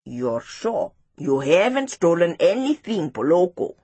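A woman's voice: lowish voice, big pitch swings, measured pace, dark tone, breathy edge, no echo, heavily acted. A woman voicing a stern, sensible schoolteacher in a children's story, reproving and authoritative.

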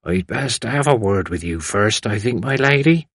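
A man's voice: lowish voice, expressive, normal speed, fairly bright tone, no room sound, studio quality. A man voicing a courteous, solemn old villager from a novel with a folksy way of talking.

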